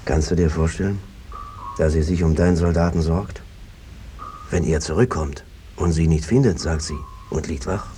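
An actor playing an evasive, henpecked peasant brother who talks around things in a cajoling, hesitant way, in a 1950s radio drama style. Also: male voice, a bass voice, moderate pace, dark tone, soft background music. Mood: evasive, uneasy. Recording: noisy old 1950s radio recording.

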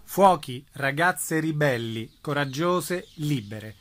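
A man's voice: on the high side, animated, slow pace, fairly bright tone, faint music behind. A man reading a scripted announcement of show credits.

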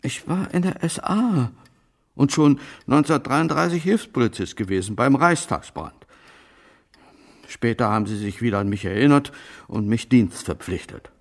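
Creaky, guarded old German man. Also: medium pitch, expressive. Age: older, about 80s. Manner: halting, evasive, defensive. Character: old German former Nazi policeman, guarded, self-justifying. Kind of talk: radio drama dialogue.

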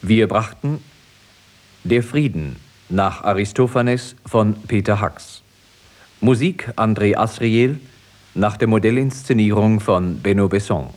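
A man's voice: fairly low pitch, slow, darkish tone. A man reading scripted credits formally as a radio announcer.

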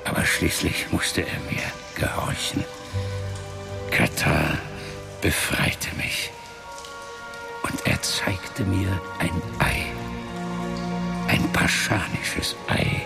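Very deep, gravelly wizard father. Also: exaggerated voice. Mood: grave, recounting. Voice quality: gravelly, breathy half-whispering voice. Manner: grave, measured storytelling. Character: ancient solemn father, powerful wizard of a magical race. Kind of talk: fantasy radio drama acting. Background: background music.

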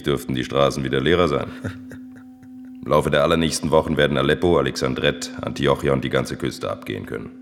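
An actor in a radio drama playing a ruthless minister, a cold schemer who speaks with cynical self-assurance. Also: male voice, rumbling low, a little hiss or hum. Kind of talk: German radio drama acting.

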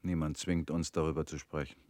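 An actor in a radio play voicing a reclusive former political defendant, calm, quiet and guarded. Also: male voice, extremely low pitch, a little fast.

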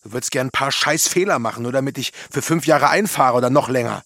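A man's voice: medium pitch, fast, bright, forceful tone, no echo, studio quality. Bullying, foul-mouthed crook stepfather. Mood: menacing. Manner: bullying, sneering, cursing. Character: violent, foul-mouthed, muscular small-time crook stepfather. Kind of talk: radio play acting.

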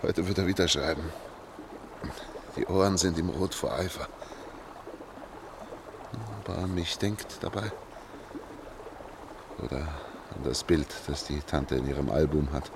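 A lonely father delivering a wistful monologue in a radio drama. His voice is deep and low. Faint noise runs behind him. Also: measured pace, pauses often. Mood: wistful, tender. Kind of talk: German radio play monologue.

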